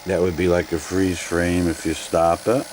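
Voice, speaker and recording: Low-voiced man giving an off-the-cuff demonstration. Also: flat delivery, normal speed, background noise. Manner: tentative, thinking aloud as he goes. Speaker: demonstrator of vintage video equipment.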